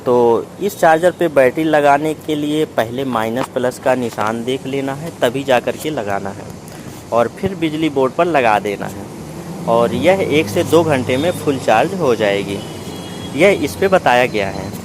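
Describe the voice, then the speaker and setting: A man presents a product review with a mid-pitched voice over faint background noise. He explains each part methodically, moving step by step as in an unboxing video. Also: expressive, unhurried. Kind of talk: step-by-step unboxing narration in Hindi.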